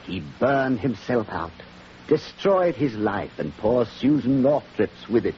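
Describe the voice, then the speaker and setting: Sorrowful, rhetorical village clergyman for vintage radio play; animated mid-range voice, muffled sound. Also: medium pitch. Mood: wistful. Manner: measured, rhetorical, wistful. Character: thoughtful, sorrowful, bookish village vicar. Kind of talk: 1940s radio acting. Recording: dull, hissy old radio recording.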